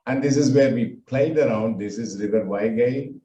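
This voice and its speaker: An older man from India with a Tamil accent, giving a halting personal talk with many fillers. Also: medium pitch, warm tone, roomy sound, dull audio, a little hiss or hum. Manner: halting, with frequent fillers and restarts. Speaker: speaker recounting his life.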